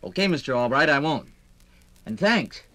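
An actor playing an eager, polite young American factory worker in a 1950s radio drama. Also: male voice, on the high side, expressive, moderate pace, lightly acted. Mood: eager, respectful. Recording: 1950s radio drama, loud background noise.

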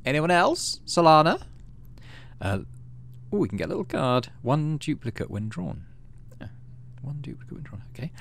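A man narrating a game playthrough casually, reading text aloud and thinking out loud in an easygoing way. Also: medium pitch, very animated, a little fast, vocal fry. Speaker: gaming video host.

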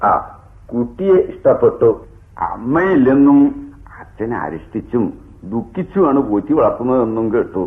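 An actor in a radio drama playing a touchy suitor, curt and insistent. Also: male voice, medium pitch, expressive, moderate pace, close to an everyday voice. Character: brisk, touchy suitor pressing his proposal. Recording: tinny phone-like old radio broadcast.

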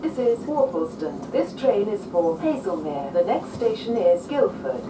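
A woman's calm, measured train announcement plays through a carriage PA speaker. It sounds echoey and set back from the mic, with a lively rise and fall. Loud train rumble sits under it. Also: recorded from a distance. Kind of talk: recorded public announcement. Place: inside a moving train carriage. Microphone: train PA speaker picked up by a phone camera.

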